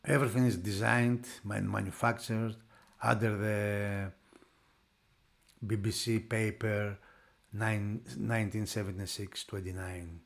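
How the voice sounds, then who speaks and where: A man who is not a native English speaker, narrating a product unboxing off the cuff in halting phrases. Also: lowish voice, level tone, vocal fry. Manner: halting, piecing phrases together. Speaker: product reviewer.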